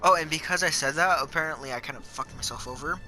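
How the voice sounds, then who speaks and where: A young man chatting casually while gaming, telling stories in a halting, rambling, sweary way. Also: on the high side, sharp tone. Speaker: player chatting in a multiplayer game. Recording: music behind the voice. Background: music.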